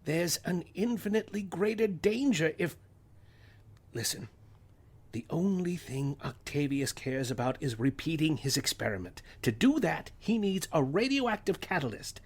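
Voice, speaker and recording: A man's voice: fairly high pitch, lively intonation, moderate pace, slightly rough. A man playing a grave, learned professor who speaks precisely and with authority in a radio-play reading. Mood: urgent, grave.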